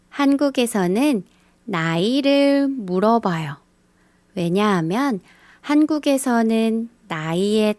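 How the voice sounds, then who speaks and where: A woman teaching a beginner language lesson, speaking in a slow scripted style and carefully repeating the example phrases. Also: medium pitch, darkish tone, no room sound. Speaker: Korean teacher.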